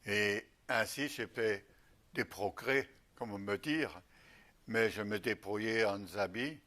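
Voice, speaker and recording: An older man from Alsace, a retired missionary bishop, recalling his life slowly with many pauses. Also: fairly low pitch, a bit bright. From Alsace, France. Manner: slow, halting recollection with many pauses. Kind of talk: reminiscing interview.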